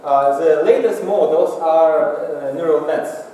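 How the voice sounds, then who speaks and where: A man giving a technical lecture with slides in a lecture room, speaking halting, non-native English. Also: on the high side, dark tone. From Singapore. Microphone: far from the mouth, room pickup. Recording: echoey hall, distant mic, faint noise.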